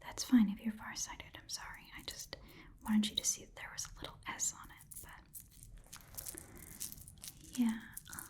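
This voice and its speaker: A woman's voice: fairly high pitch, flat delivery, unhurried, long pauses, close to an everyday voice. A woman playing a small, blunt goblin pawnshop keeper in a casual, muttering ASMR roleplay whisper. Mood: absorbed, muttering. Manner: rambling, casual, muttering, slangy. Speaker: ASMR performer playing a shopkeeper.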